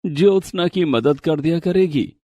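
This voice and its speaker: A man's voice: fairly high pitch, normal speed, no room sound, close to an everyday voice. Man acting a kindly elderly father-in-law, dry sound. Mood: concerned. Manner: considerate, gently reasoning. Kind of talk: animated moral story dialogue.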